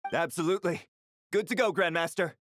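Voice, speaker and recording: A man's voice: high voice, fairly quick, natural voice. Man acting a dutiful, earnest game knight. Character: loyal, dutiful male knight reporting to his commander.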